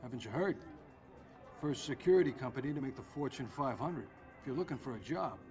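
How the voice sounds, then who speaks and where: A man acting as a self-assured security company chief in a video game. His voice is mid-pitched and smug, with clear music playing underneath. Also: expressive, fairly quick, darkish tone, lightly acted. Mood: smug, cool.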